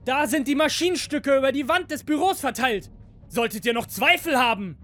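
A man voicing an angry, commanding master of a factory in an audio story, bossy and shouting. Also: extremely high pitch, lively intonation, moderate pace, soft background music, voice pushed up. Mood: furious.

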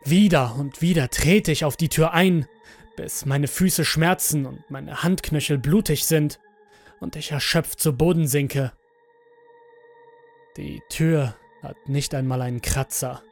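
A man reads a horror audio story in a slightly high voice. His delivery is slow, deliberate and dramatic, with music playing faintly underneath. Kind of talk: scripted first-person horror audio story narration. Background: background music.

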